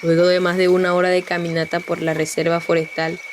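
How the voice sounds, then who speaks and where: Low, even-voiced woman narrator. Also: brisk, background noise. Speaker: podcast reporter and narrator. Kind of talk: scripted documentary narration.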